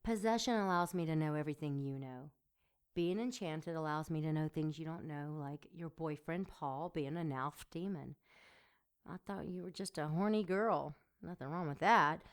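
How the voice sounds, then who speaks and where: Seductive demon woman, slightly low pitch, sultry, mocking, ruthless, deliberate delivery, audio drama. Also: expressive, smooth. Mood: smug teasing. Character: sardonic, seductive female succubus demon, ruthless interrogator.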